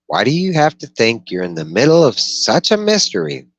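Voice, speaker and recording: Storybook desert hermit, acted by a man: scrawny red-haired loner, pitched well up, unperturbed, plainspoken, quietly probing. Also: wildly swooping pitch, normal speed, dry recording. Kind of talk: storybook character dialogue.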